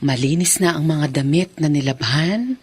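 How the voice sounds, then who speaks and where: A woman's voice: deep voice, normal speed, breathy edge. An actress playing a dutiful, long-suffering middle-aged stepmother in a Filipino radio drama. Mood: contented.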